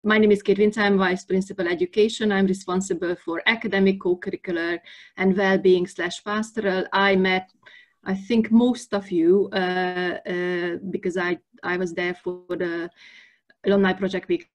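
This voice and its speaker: A woman's voice: lowish voice, steady tone, normal speed. A woman vice principal introducing herself briefly and apologetically on a video call.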